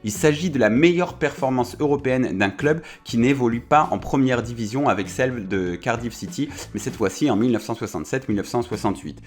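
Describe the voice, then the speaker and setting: A man's voice: mid-range voice, fairly quick, few pauses. A man hosting a casual football video, talking in a chatty way with many fillers and self-corrections.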